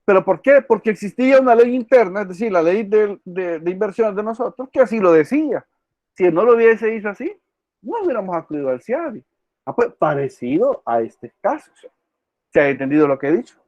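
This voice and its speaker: A man's voice: high voice, very animated, a little fast, darkish tone, no echo. Male law lecturer, repeats key terms, muffled lo-fi recording. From El Salvador. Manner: quizzes students by name, repeats key words. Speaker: law professor. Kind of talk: online class lecture.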